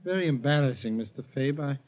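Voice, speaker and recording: An actor playing a meek, middle-aged bank teller with secret schemes, earnest and hesitant in a 1940s radio style. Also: male voice, medium pitch, animated, fast talker, close to an everyday voice. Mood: meek, deferential. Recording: thin, tinny 1940s radio recording.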